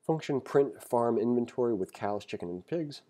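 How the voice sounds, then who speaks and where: A man giving a casual read-along programming tutorial, reading aloud and thinking out loud with informal explanatory asides. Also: medium pitch, animated, normal speed. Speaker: programming instructor. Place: screen-recorded voice-over.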